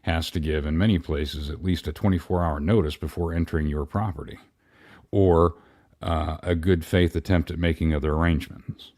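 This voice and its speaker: Man, low-pitched voice, co-host, casual commentary, blunt and colloquial. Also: fairly even intonation, moderate pace, darkish tone.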